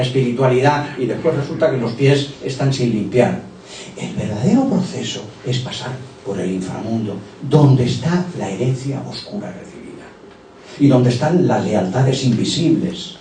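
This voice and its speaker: A man's voice: mid-range voice, mellow. A man giving a conference talk from slides, speaking discursively, often tagging phrases with "eh?" and "okay?", and addressing the audience directly. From Barcelona, Spain. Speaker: conference speaker presenting a therapy method. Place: conference hall. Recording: echoey hall, distant mic, faint hum.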